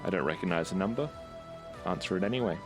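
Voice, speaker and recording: Lowish-voiced male tabletop game player. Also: quick pace, music in the background. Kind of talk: describing his character's actions.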